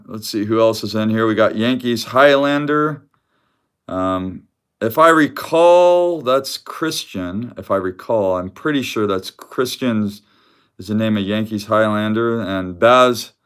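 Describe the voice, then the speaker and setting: A man chatting loosely on a live stream about his trading card collection, with a loud voice that carries, frequent laughs and many restarts. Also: fairly low pitch, clean tone. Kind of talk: casual live-stream chat. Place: home room with weak Wi-Fi.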